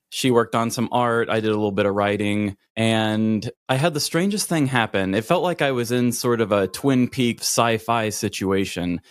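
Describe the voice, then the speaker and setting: A man's voice: on the low side, dry recording, studio quality. A man co-hosting a podcast, chatting casually and sharing anecdotes. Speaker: podcast co-host.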